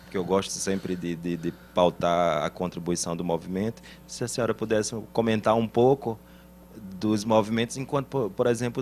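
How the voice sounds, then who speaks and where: Low-voiced man asking hesitantly. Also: a little mellow, a little hiss or hum. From Pernambuco, Brazil. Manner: hesitant, polite, halting restarts. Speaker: audience member asking a question.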